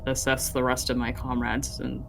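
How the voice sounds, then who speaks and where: A woman chats casually as a player in a tabletop game, with wry, dry asides. Her pitch is on the low side for a woman, and faint noise sits behind her. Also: completely flat delivery, moderate pace, vocal fry.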